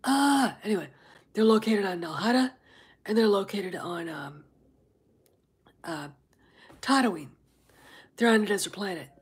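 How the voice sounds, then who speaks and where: Husky, congested woman rambling to chat. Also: medium pitch, expressive, unhurried, pauses often. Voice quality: very husky, often near a whisper. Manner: rambling, many fillers, greets chat viewers. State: congested, stuffed-up nose. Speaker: livestream host. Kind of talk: casual livestream chat.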